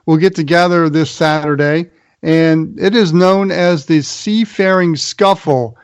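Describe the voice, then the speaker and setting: A man's voice: on the high side, unhurried, clean tone, dry recording, dull audio. An American man hosting a sports show, running through college football news and previews. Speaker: sports radio show host. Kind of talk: sports round-up, partly scripted narration.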